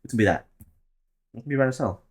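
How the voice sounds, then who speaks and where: A young man near twenty hosts his own podcast alone, rambling through his plans in a loose, profane, offhand way. His voice sits in an ordinary middle pitch, and he often laughs at his own jokes. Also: rapid-fire, slightly rough. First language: Tagalog. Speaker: podcast host. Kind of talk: casual solo podcast monologue.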